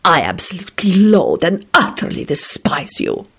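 A spiteful, proud man, put on by a female reader in a storybook narration. He speaks resolutely and with open loathing, in a very animated line. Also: on the low side, normal speed, slightly rough, heavily acted. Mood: malevolent.

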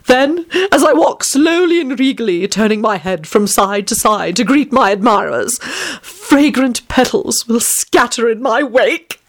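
A woman's voice: a little high, lively intonation, measured pace, pitched above the performer's own voice. A woman voicing a flamboyant, theatrical male vampire who shrieks and speaks in grand, old-fashioned phrases. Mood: rapturous.

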